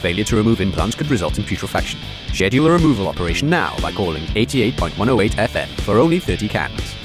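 A man's voice: fairly low pitch, very animated, fast talker, music in the background. A man playing a pushy, declamatory announcer in a parody wasteland radio commercial. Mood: hard-selling. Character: brash, hard-selling wasteland advert announcer.

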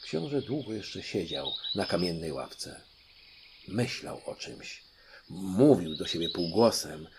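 A man's voice: low-pitched, sing-song, slow, very noisy. A man narrating a scripted children's story as an audiobook reader.

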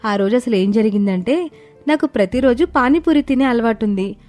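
A woman voicing a kind, outspoken young female office worker in an animated moral story, speaking earnestly. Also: on the high side, moderate pace, natural voice. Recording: background music under the voice.

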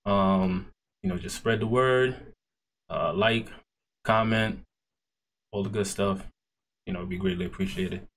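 A man's voice: sits low, unhurried, long pauses, a bit bright, slight background noise. A man hosting a casual interview, laid-back and encouraging, talking through an earbud headset on a video call. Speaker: host. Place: small room indoors.

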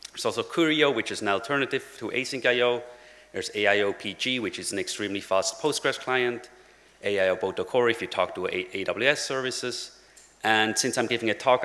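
A man's voice: fairly low pitch, bright, forceful tone. A young man giving a casual technical conference talk at a lectern, with many "uh" fillers and dry jokes. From Switzerland. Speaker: conference speaker. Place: large conference hall at a lectern. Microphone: lectern microphone. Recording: long hall reverb.

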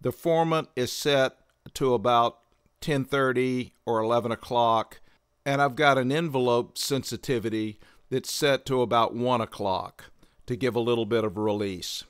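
A man hosting a synthesizer tutorial, explaining gear in a measured, methodical way on a close microphone. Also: mid-range voice, clear, resonant tone, dry recording. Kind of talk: explanatory product tutorial narration.

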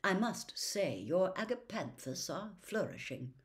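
A woman voicing a pleasant, calm old male wizard with formal, measured, polite speech in a storybook reading. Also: on the low side. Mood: courteous, unruffled.

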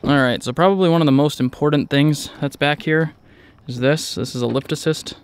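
Male vlogger, clean smooth voice, informal off-the-cuff walkaround delivery recorded outdoors. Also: fairly high pitch, fairly quick. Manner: rambling, informal. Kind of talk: casual walkaround narration. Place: outdoors in a driveway beside a truck.

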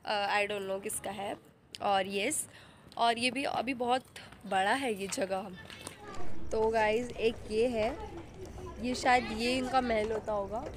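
A woman's voice: a little high, steady tone, slow pace, slight background noise. A young woman with an Indian accent chatting casually to a phone camera outdoors, teasing and rambling with bits of English. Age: young adult. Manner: playful, teasing, rambling, mixes English into speech. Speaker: vlogger. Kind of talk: casual, joking vlog chat. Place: outdoors at a rocky hillside site. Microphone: phone at arm's length.

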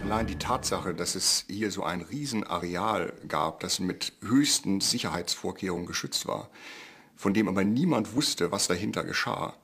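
A man's voice: low voice, moderate pace, a bit bright. A man giving explanatory commentary as an expert in a documentary interview. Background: faint background music.

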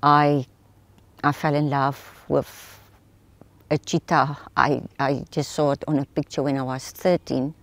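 A woman's voice: deep voice, flat delivery, a little slow. A middle-aged woman, speaking as a wildlife project founder in a documentary interview. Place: outdoors in open bush, seated.

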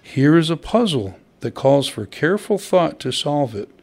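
A man's voice: fairly high pitch, very animated, normal speed, a little mellow. Older man, pastor, sermon, church hall pulpit, stand mic, rhetorical questions. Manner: conversational preaching with rhetorical questions.

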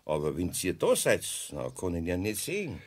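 An actor playing a kindly, flustered older master carpenter with a Bavarian accent, speaking hesitantly in a children's audio drama. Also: male voice, low voice, huge pitch swings, a little fast, a little mellow, exaggerated voice. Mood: defensive. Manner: hesitant, chuckling.

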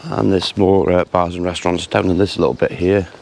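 A man casually narrating a walking tour outdoors on village streets, chatty and relaxed with frequent pauses to say "uh". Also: low-pitched, gravelly, a little hiss or hum. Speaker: travel vlogger.

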